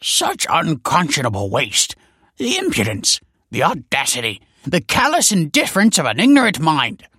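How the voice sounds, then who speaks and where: Shrill, pompous middle-aged scholar. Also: male voice, huge pitch swings, slow pace, a bit bright, slightly rough, dry recording, full character voice. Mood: fuming. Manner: haughty, spluttering, ornate scolding. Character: pompous, indignant middle-aged male scholar, skinny and rigid. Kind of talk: audiobook character voice.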